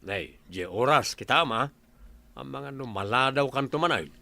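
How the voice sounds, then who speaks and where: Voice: mid-range voice, animated, normal speed, gravelly, pushed and bright. An actor playing a nagging, teasing village husband in a comedy radio drama, with an Ilocano accent. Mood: matter-of-fact. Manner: teasing, scolding.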